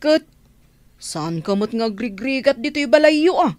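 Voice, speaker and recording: A woman's voice: fairly high pitch, sing-song, a little slow, darkish tone, dry recording. An actress playing a teasing, skeptical neighbour woman in a radio drama, exclaiming in an Ilocano accent. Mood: teasing, curious. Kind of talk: Filipino radio drama acting.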